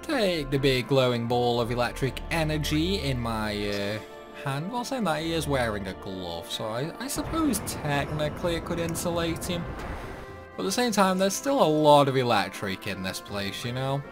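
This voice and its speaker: A man's voice: mid-range voice, big pitch swings, normal speed. A man giving casual playthrough commentary on a video game, rambling along with grumbling asides. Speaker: gaming video host. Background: game music.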